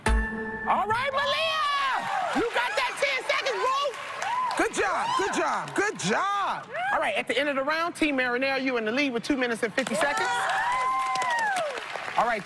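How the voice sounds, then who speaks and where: A woman in her thirties hosting a TV game show, playful and quick with slang and jokes. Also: high-pitched, very noisy. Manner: playful, lively, Southern-tinged slang like y'all. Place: large studio set of a supermarket. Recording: TV broadcast over loud music. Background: loud game show music.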